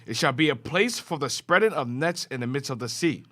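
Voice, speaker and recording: Man, scripture reader, lively rising and falling pitch, formal steady reading. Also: brisk.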